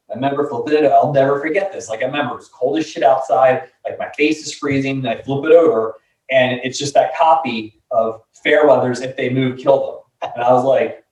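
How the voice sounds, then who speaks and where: A man's voice: medium pitch, a little mellow. A man in his late thirties telling stories in a laid-back, rambling way with lots of fillers, recorded off-mic in a room. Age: 30s. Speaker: band member. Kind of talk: casual chat. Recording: roomy, distant, dull mic.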